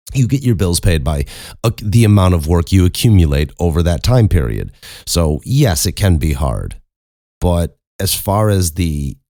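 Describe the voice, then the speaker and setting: A young man hosting a casual Q and A, with a very low speaking voice, rambling and self-mocking and swearing casually. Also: dark tone, no room sound, clean studio sound. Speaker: podcast host and voice actor. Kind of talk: casual Q and A chat.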